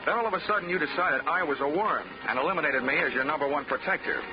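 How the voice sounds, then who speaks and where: An actor as a wry radio detective, muffled old recording. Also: male voice, on the high side, fast talker, close to an everyday voice. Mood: wry narrating. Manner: wry, dry, wisecracking. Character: wry hard-boiled male investigator, fast-thinking, wisecracking. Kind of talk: 1940s radio detective narration and acting. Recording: dull old radio recording with loud music.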